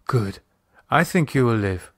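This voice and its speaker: A man voicing a calm, solicitous foreign woman in an audiobook horror drama, gently commanding, with precise formal phrasing. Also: medium pitch, measured pace, slightly rough, no room sound, clean studio sound. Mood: soothing, concerned.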